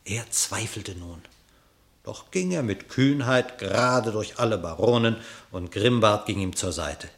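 A man serves as narrator of a classical radio drama. His fairly low voice moves with lively, expressive intonation through scripted literary verse. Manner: expressive, measured storytelling.

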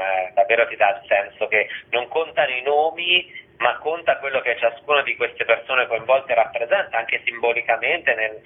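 A man being interviewed on the radio as a theatre festival organiser, explaining things in a discursive, spontaneous way and listing examples. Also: medium pitch. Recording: tinny phone line on radio.

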